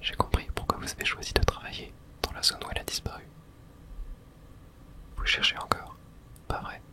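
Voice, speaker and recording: Soft whispering ASMR gamer. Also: brisk. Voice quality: soft breathy unvoiced whisper. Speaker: video game streamer and host. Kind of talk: whispered ASMR game playthrough.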